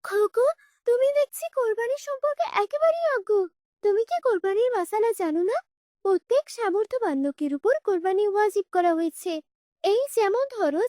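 A woman's voice: extremely high pitch, darkish tone, dry recording, studio quality. A woman voicing an earnest, knowledgeable girl in a children's cartoon, firmly explaining rules to her friends. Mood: firm, scolding. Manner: didactic, explanatory.

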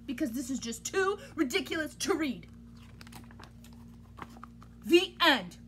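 Very animated, high-pitched woman storyteller. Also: takes it slowly. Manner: exaggerated, theatrical, playfully exasperated delivery. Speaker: teacher reading a picture book aloud to children. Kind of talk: animated children's story read-aloud. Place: small indoor room at home. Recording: noticeable background noise, home recording.

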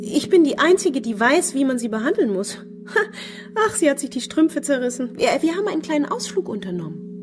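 A woman's voice: high-pitched, sing-song, a little fast, music in the background. An actress playing a deluded, imperious woman with an erratic, haughty manner in a crime radio play. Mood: eerily composed. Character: deluded, unstable young woman with split personality, imperious.

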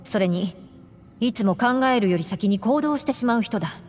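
A woman's voice: a little high, lively intonation, normal speed, a little mellow, natural voice. A woman playing a level-headed young gang deputy in an anime-style game, explaining things in a matter-of-fact, blunt way. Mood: reflective. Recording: reverberant, with music behind.

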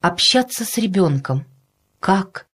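A woman reads a nonfiction audiobook in a measured, articulate, instructive way, as a scripted narration. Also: on the low side, expressive, a bit bright, breathy edge.

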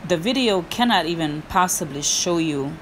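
Woman, lowish voice, hiking vlog voice-over, earnest, encouraging, faint background noise. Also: expressive, normal speed. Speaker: hiking vlogger. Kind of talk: casual voice-over vlog narration.

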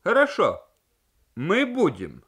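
Man doing a fussy german official in a radio story, high crowing voice, emphatic and protesting. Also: wildly swooping pitch, slow, a bit bright, slightly rough, full character voice. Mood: agitated, protesting. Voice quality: high cockerel-like voice. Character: fussy, cockerel-voiced male German official, agitated on telephone.

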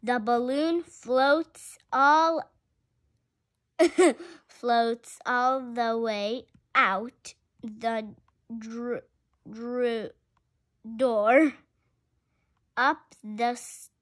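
A very young female reader going through a picture book aloud haltingly, sounding out words and adding excited asides. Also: a little high. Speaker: reader in a children's read-along video.

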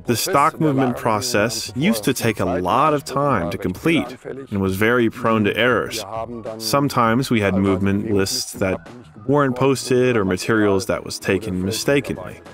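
Low-voiced man narrating over music. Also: moderate pace. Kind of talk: scripted testimonial narration in a corporate video. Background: background music.

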